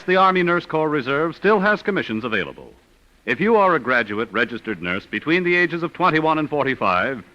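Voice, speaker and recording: Old time american radio announcer guy, bright highish voice, formal, muffled lo-fi recording. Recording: dull vintage radio recording, music behind.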